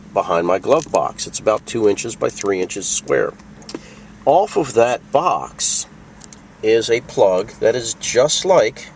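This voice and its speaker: A man's voice: medium pitch, darkish tone, recorded from a distance, slight background noise. A man hosting a casual product tutorial, explaining things plainly and step by step. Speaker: video host demonstrating a radio.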